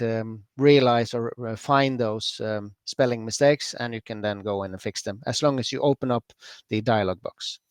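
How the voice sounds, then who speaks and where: A man from Norway with a Norwegian accent, talking through a software demonstration for a user group. His voice sits at an ordinary middle pitch and is recorded dry and close. He keeps things loose and chatty, full of fillers and quick self-corrections. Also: clean tone, no room sound. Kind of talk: screen-share software demo.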